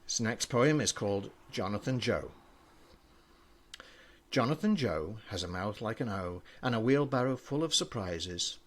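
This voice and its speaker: An older man, a grandfather, reading children's poems aloud with an expressive storybook delivery. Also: on the low side, measured pace.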